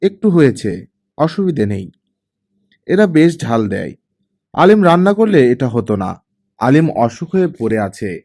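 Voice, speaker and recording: A man's voice: medium pitch, sing-song, measured pace, pauses often, darkish tone. A man voicing a reserved young man in an audiobook, speaking in a matter-of-fact, terse way.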